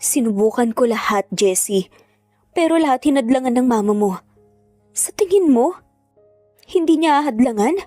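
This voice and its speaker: A woman playing a proud, wounded mother, mellow-voiced. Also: high-pitched, expressive, normal speed, pauses often, no echo, faint music behind, pitched above the performer's own voice. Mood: bitter. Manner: tearful, bitter, defiant. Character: proud, hurt, emotional mother of triplets.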